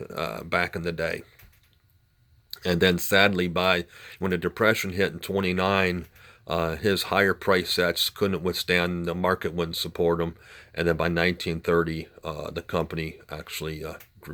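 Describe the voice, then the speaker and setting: A man giving an informal, unscripted demonstration as a collector, explaining things in a halting, explanatory way. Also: deep voice, fairly bright tone. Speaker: vintage radio collector and presenter. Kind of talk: informal demonstration talk to camera.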